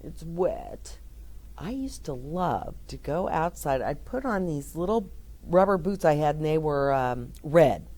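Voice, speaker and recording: A middle-aged woman reading a picture book aloud and chatting gently and encouragingly to young children. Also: sits low, animated, measured pace. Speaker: children's storyteller. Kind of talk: read-aloud story time with chat to children.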